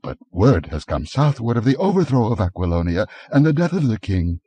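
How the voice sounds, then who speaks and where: A man's voice: lowish voice, big pitch swings, fairly quick, mellow, breathy edge, pitched above the performer's own voice. A man playing a nervous, fearful merchant. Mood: pleading. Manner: nervous, whispering, pleading.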